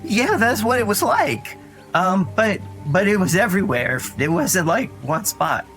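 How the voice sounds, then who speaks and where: An actor playing an earnest, anxious young boy who tells his story in a rambling, halting way in an audio drama. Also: sits high, sing-song, fairly bright tone, full character voice. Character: Dougie, frightened earnest young boy recounting his brother's disappearance. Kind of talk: naturalistic audio drama acting. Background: music under the voice.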